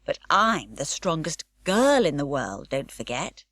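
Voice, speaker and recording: A woman's voice: low voice, very animated, unhurried, fairly bright tone, no echo, studio quality, heavily acted. A woman voicing a cheeky, supremely strong little girl who talks in a breezy, cocky way. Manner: breezy, cocky, matter-of-fact.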